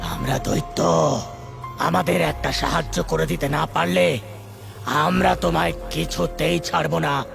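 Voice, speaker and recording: A cartoon demon giant, super croaky n slow, quarrelsome, loud music behind. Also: male voice, on the high side, fairly even intonation. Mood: demanding. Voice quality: gravelly, creaky. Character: gravelly giant demon squabbling over inheritance. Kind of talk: cartoon fairy-tale voice acting.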